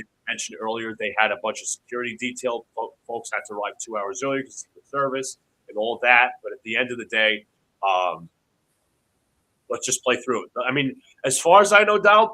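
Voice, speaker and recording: A man calls a basketball game play by play for his live stream audience, his voice a little stuffy from allergies. The tone is bright and sharp, and he keeps up a quick, excitable running commentary that jumps to shouting when his team scores. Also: medium pitch, rough recording. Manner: excitable, shouts on big plays. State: congested from allergies. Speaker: livestream host doing play-by-play.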